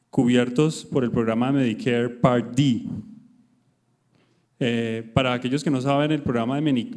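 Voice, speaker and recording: Hesitant man with a mellow voice. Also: mid-range voice. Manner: hesitant, many filler sounds and restarts. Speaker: conference host introducing a speaker. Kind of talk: formal conference introduction.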